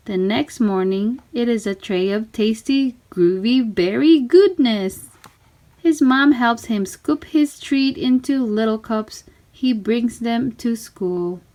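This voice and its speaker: A woman reading a children's storybook aloud in simple, deliberate phrases. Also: on the high side, dark tone.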